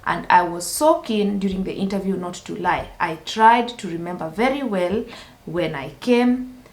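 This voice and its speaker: A woman's voice: lowish voice, normal speed. A woman from Kenya sharing a personal story as a vlogger, earnest and careful, with many restarts. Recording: roomy echo.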